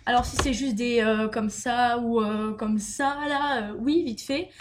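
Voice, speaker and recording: A young woman with a somewhat high voice chats through a list of tag questions for her vlog. She reads each question, then answers in a loose, giggly way, peppered with fillers. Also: steady tone, measured pace. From Luxembourg. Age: young adult. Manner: chatty and giggly, lots of "euh" and "donc voilà". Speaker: beauty vlogger.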